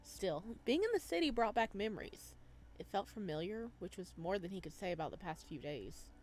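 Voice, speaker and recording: Female let's-play host, mid-pitched, chatty and playful, switching into calm read-aloud narration. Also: a little fast, slight background noise. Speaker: gaming video host. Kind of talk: casual let's-play commentary, reading game text aloud.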